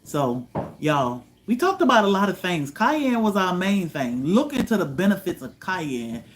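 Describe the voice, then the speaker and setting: A man from Virginia, hosting a homestead livestream, chatting with viewers in a relaxed way, often letting his voice trail off softly. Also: high voice, a little slow. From Virginia, USA. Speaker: homestead channel host. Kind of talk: livestream chat answering viewers.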